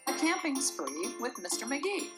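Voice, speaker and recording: A woman reading a rhyming children's picture book aloud in an expressive, sing-song storytelling manner. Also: high-pitched, a little slow, loud music behind the voice. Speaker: storybook reader.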